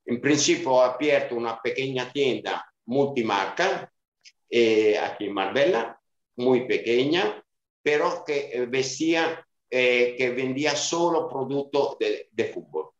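A man's voice: medium pitch, expressive, unhurried. A man in his fifties from Sicily, speaking with an Italian accent as an interview guest, hesitant and full of fillers and restarts, on a video call. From Sicily, Italy. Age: 50s. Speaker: interview guest, club director. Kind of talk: casual interview answers. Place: small room at home. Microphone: video call webcam. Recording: roomy, dull video call audio.

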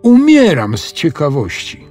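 A man's voice: on the high side, wildly swooping pitch, darkish tone, faint music behind, pitched above the performer's own voice, full character voice. A man voicing a sensible young schoolgirl with an emphatic, chiding manner in a children's audio story. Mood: annoyed. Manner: emphatic, drawn-out questions.

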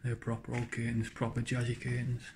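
A young man narrates on a handheld phone camera as he walks through empty rooms and a cellar. He talks in quick, casual remarks about whatever he spots, with a faint hiss behind him. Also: mid-range voice, completely flat delivery. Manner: quick remarks pointing out old objects, calls out to a friend. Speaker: urban explorer vlogger. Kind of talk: casual running commentary while exploring. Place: abandoned farmhouse rooms and cellar, indoors.

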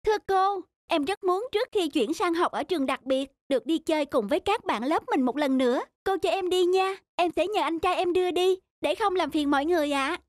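A woman voicing a sweet, earnest young schoolgirl in a cartoon, polite and softly sincere. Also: very high-pitched, fairly quick, few pauses, dry recording, clean studio sound. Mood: pleading, reassuring. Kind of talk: animated cartoon dubbing.

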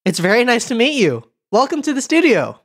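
A woman voice actor improvising emotional lines for a demo reel in an expressive, theatrical manner. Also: a little high, fairly quick, slightly rough.

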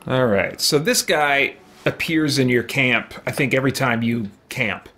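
A man's voice: mid-range voice, a little slow, pushed and bright. A middle-aged man giving casual let's play commentary as a gaming streamer, indoors. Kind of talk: casual let's play commentary, reading dialogue choices aloud. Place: small indoor room with shelves.

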